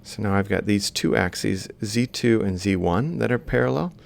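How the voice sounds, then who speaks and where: A man's voice: on the low side, normal speed, gravelly. A man lecturing informally, thinking aloud as he explains step by step while drawing. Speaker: robotics lecturer.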